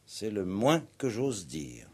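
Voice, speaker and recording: An actor playing a calm, probing police commissioner in a radio play, measured and drily ironic. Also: male voice, fairly low pitch, husky, exaggerated voice. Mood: grave, measured. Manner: measured, interrogating, gently ironic. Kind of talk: 1960s French radio play acting.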